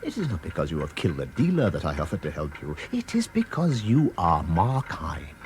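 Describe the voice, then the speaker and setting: An actor playing a sinister, persuasive tempter in a radio drama, taunting and relentless, with an insistent theatrical delivery full of probing questions. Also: male voice, sits low, huge pitch swings, brisk, a little mellow, a little hiss or hum. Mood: coolly menacing. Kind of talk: dramatic British radio play acting.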